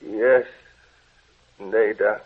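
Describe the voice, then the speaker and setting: An actor playing a dazed scientist in the grip of another's will, halting and strained, in old-time radio horror style. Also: male voice, lowish voice, steady tone, slightly rough, exaggerated voice. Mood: dazed obedient. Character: Court, dazed scientist under an eerie controlling will. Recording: tinny old radio broadcast.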